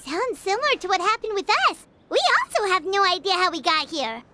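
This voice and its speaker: A woman voicing a tiny, chirpy, blunt fairy companion with a squeaky childlike voice in a video game. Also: brisk, slight background noise, full character voice. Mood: curious. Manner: animated, exaggerated. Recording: music playing behind the voice.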